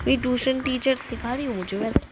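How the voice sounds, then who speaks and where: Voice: high-pitched, quick pace. A young adult chatting casually in short, slangy bursts while playing a game, in a very noisy recording. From India. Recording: very noisy background.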